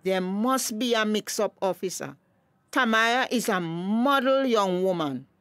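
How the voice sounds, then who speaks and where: A woman's voice: medium pitch, measured pace, a bit bright, smooth, no echo, close to an everyday voice. An actress playing a respectable, protective middle-aged mother in a radio drama, earnest and proper, with a Tobagonian accent. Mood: protective, worried.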